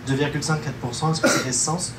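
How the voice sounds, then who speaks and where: A man's voice: a little high, quick pace. A man asking a casual, spontaneous question from a meetup audience. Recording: distant, echoey hall, room noise.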